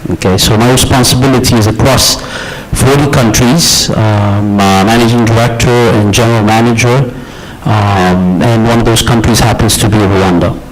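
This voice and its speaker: A man's voice: fairly low pitch, steady tone, moderate pace, music in the background, poor audio. A middle-aged man from Ethiopia who grew up in the US, giving an informal conference speech as an executive, often checking in with the audience. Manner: conversational, checks in with "yeah?" and "okay?". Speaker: company executive, conference speaker.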